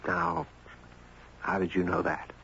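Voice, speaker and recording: An actor playing a gentle, reflective man in a 1970s radio drama, speaking tenderly and musingly. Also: fairly high pitch, very animated, takes it slowly, gravelly, slight background noise, exaggerated voice. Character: gentle, reflective man narrating his own tale, Ben. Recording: dull 1970s radio broadcast.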